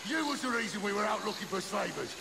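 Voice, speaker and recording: An actor playing a furious, half-mad marooned pirate captain in a video game, full of crude threats and taunts. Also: male voice, high-pitched, big pitch swings, quick pace, vocal fry, background noise, exaggerated voice. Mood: unhinged. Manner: growling threats and sardonic taunts. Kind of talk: dramatic video game acting. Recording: music behind the voice.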